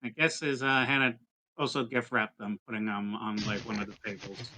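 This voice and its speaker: A man playing a tabletop game, talking casually and haltingly about what his character does. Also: fairly low pitch, level tone, pushed and bright, a little hiss or hum.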